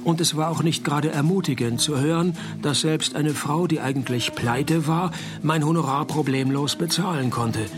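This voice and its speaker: A laconic, sardonic man's voice from an actor as a deadpan private eye in a radio play. It sits fairly high for a man, over clearly heard music. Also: moderate pace, natural voice. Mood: deadpan narrating. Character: wry, deadpan male private detective narrating his case. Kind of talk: noir parody radio play, narration and dialogue.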